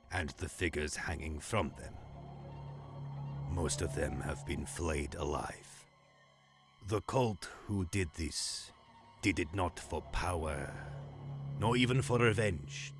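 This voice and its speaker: Stern male propaganda chief, played by an actor: low, unhurried, formal, grave, audio drama with music bed. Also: expressive, heavily acted. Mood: grave, measured. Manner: measured, formal, deliberate pauses. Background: music underneath.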